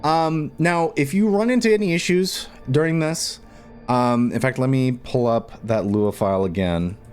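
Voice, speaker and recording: Casting: male tutorial host, mid-pitched, informal and rambling, tends to repeat himself, faint music underneath. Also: normal speed, a little mellow, clean tone. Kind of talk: casual screen-recorded game modding tutorial.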